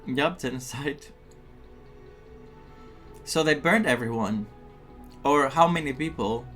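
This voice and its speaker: Slow-paced casual game streamer. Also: medium pitch, a bit bright, soft background music. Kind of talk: casual reaction commentary over a story game.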